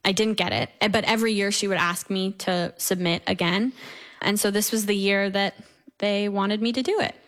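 Teen girl from new york, young singer being interviewed, earnest n chatty, medium pitch. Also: steady tone, moderate pace, a bit bright. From Brooklyn, New York. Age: teenager, seventeen. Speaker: young classical soprano, guest. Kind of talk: conversational interview.

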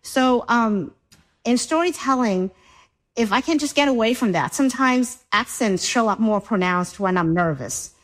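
A woman's voice: fairly high pitch, expressive, moderate pace, poor audio. A woman from Vietnam who speaks English with a Vietnamese accent, a storyteller talking reflectively on a panel, often restarting her sentences. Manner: reflective, with frequent restarts and "you know". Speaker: storyteller guest. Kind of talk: panel discussion.

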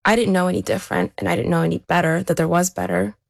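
A woman's voice: fairly low pitch, fairly even intonation, fast talker, gravelly, no echo, studio quality. A woman who is an athlete, giving a reflective personal account as an interview guest. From born in Ukraine, raised there to age seven, then America.

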